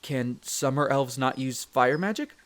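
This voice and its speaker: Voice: mid-range voice, unhurried, natural voice. A performer playing a young male elf explorer, a chatty, friendly guide speaking to the listener in an audio roleplay. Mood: flustered. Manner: expressive, chatty, stammers when flustered.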